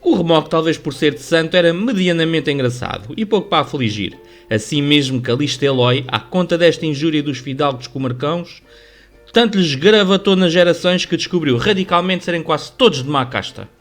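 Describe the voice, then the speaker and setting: A man with a European Portuguese accent giving formal, measured, scripted literary narration for a radio drama. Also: fairly high pitch, expressive. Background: music under the voice.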